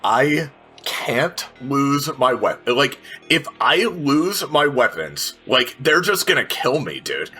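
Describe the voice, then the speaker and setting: Bright-voiced man playing a tabletop game. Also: mid-range voice, very animated, slow. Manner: colloquial, profane, thinking aloud. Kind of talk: casual game chat and narration. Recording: music under the voice. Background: background music.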